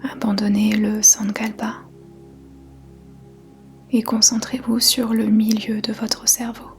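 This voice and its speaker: A woman guiding a yoga nidra meditation in a soft, breathy, whispery voice, calmly and in measured short phrases with gentle pauses. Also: a little high. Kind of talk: guided meditation, slow soothing instruction. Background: faint music.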